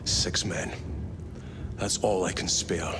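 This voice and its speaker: A man's voice: sits low, takes it slowly, noisy background. An actor playing a grim, weary male space marine captain who gives stern, clipped commands in a game cutscene. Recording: game audio with score underneath.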